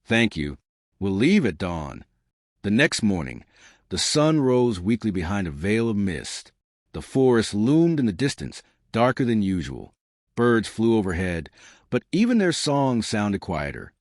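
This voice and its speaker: A man's voice: fairly low pitch, sing-song, unhurried, darkish tone, dry recording, studio quality. A man reading a children's fairytale as a scripted, storybook narration.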